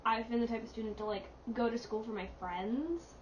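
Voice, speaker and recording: A teenage girl with a fairly high voice speaks with casual determination and scatters "like" through her sentences. She sits a little away from the mic, and the dull recording has a faint hiss. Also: a little fast, far from the mic. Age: teens. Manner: determined, with many "like"s. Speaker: podcast co-host. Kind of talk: casual chat.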